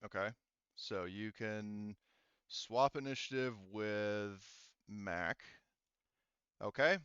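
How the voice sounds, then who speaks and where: A man's voice: on the low side, takes it slowly, fairly bright tone, clear, resonant tone, no echo, muffled recording. A man acting as game master, casually narrating a tabletop game and muttering to himself as he checks rules and counts.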